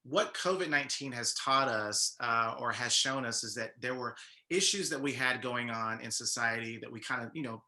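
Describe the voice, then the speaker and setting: A man's voice: mid-range voice, moderate pace, sharp tone. A man hosting a talk show, putting questions to guests in a conversational broadcast style. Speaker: talk show host, interviewer.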